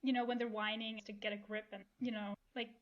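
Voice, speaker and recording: A woman's voice: mid-range voice, level tone, a little fast. A woman guest in a casual interview, explaining in loose, self-correcting bursts, on slightly lo-fi sound. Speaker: voice actor guest. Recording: slightly dull, lo-fi microphone sound.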